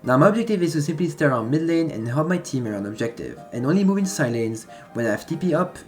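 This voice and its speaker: A young man with a French accent giving a calm, explanatory voice-over that breaks down a match he played. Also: fairly high pitch, quick pace. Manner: explanatory, slightly non-native phrasing. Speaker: gaming content creator. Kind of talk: gameplay commentary voice-over. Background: background music.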